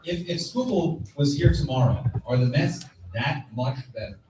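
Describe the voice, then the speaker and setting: A man's voice: fairly high pitch, lively intonation, normal speed, mellow. A man giving conversational sports analysis as a panelist on a live stage. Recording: echoey, distant mic, audible crowd.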